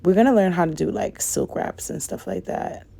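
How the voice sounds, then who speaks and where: A young woman talks through her nail work in a loose, rambling way, sprinkling in "like" and "guys." Her voice sits low and mellow. It carries a slight rasp from a cold. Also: huge pitch swings, moderate pace. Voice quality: raspy. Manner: rambling, says "like" and "guys" a lot. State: raspy from a cold. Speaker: nail vlogger. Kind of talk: casual chatty tutorial voice-over.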